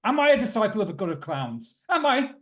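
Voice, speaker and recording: A man's voice: sits high, very animated, fairly quick, pitched above the performer's own voice. An exasperated disciple-doctor played by a man in a tabletop game. The voice climbs well above an everyday male register as he rants in aggrieved, rhetorical bursts. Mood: exasperated indignation. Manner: rhetorical, indignant ranting. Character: exasperated doctor and religious disciple throwing a tantrum. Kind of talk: in-character tabletop roleplay.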